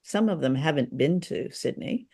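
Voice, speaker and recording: A woman's voice: sits low, animated, normal speed, darkish tone. A North American woman co-hosting a podcast, chatting casually in an agreeable, conversational way. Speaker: podcast co-host. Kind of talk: casual chat.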